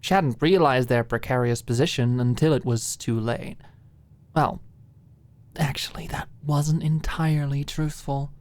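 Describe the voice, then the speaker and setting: A woman narrating a scripted audiobook in ASMR style, with soft phrases that drop into a hush. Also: rumbling low, measured pace.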